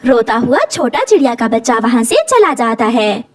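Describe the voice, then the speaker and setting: High, lively woman storyteller. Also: moderate pace, no echo, clean studio sound. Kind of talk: scripted cartoon story narration.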